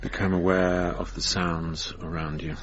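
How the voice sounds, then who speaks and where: A man's voice: low voice, level tone, a little slow, a bit bright, a little hiss or hum. An actor playing a terse, probing male hypnotherapist who questions in a clipped, insistent way, in a naturalistic radio drama. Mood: calm, commanding. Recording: dull radio recording, faint music.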